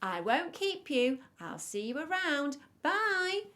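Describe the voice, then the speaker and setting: A woman's voice: sits high, very animated, slow, sharp tone, voice pushed up, full character voice. A woman voicing a sly male wolf from a children's storybook, coaxing and falsely friendly. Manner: coaxing, overly reassuring.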